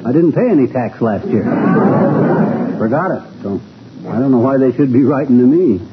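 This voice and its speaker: A man's voice: medium pitch, normal speed, natural voice. A man playing a folksy, blustery middle-aged husband who jokes and spins yarns in a 1940s radio comedy. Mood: puzzled, grumbling. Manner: folksy, colloquial, chuckling. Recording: tinny, noisy old radio recording.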